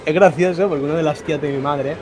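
A man's voice: fairly high pitch, fairly even intonation, fast talker, crowd noise. A young man co-hosting a casual podcast, telling blunt anecdotes and swearing freely. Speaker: podcast co-host. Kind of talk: casual chat. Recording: music playing underneath.

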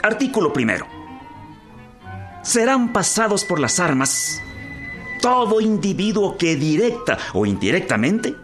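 An actor playing a stern government authority with a Mexican accent, formally declaiming a harsh decree in a radio docudrama. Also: male voice, sits high, sing-song, a little slow, pauses often, faint music behind. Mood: stern, condemning.

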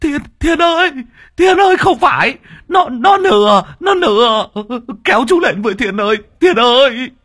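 Shrill panicky old coward. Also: male voice, very animated, normal speed, a bit bright, exaggerated voice. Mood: terrified. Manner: stammering, whining, shrieking.

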